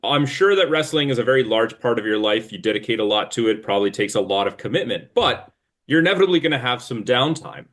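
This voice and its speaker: A man's voice: mid-range voice, lively intonation, quick pace, a bit bright. Friendly male interview host. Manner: friendly, easy laughs, conversational questions. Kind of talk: casual interview questions.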